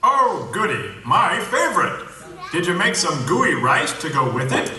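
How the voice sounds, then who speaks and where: A man's voice: fairly high pitch, sing-song, a little slow, sharp tone, full character voice. A man voicing a goofy, slightly dim married puppet with a folksy, bumbling manner in a puppet show. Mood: cheerful. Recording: roomy, distant playback in a hall.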